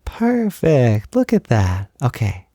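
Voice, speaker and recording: A man playing a tender, encouraging partner in an intimate roleplay audio, speaking softly in a gentle, hesitant, reassuring way. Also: medium pitch, huge pitch swings, takes it slowly, no echo, studio quality, heavily acted. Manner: gentle, reassuring, hesitant, with breathy whispered moments. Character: tender, encouraging man comforting partner while doing makeup. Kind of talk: intimate roleplay audio, soft ASMR talk.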